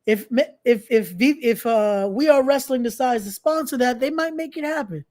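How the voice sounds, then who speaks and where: A young adult podcast host talks in a loose, casual live-stream style at a middling pitch. Phrases get repeated, and promotional announcements are mixed with slangy, informal asides. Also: normal speed, darkish tone.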